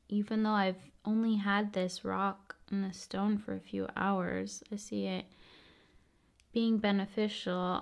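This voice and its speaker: A woman speaks very slowly in a soft ASMR style, with a strongly creaky edge to her voice. Her pitch sits in a middle range for a woman. She talks casually in short phrases with pauses, often dropping toward a whisper. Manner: unhurried, pausing between short phrases. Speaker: ASMR video host. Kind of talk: ASMR, casual spoken musings.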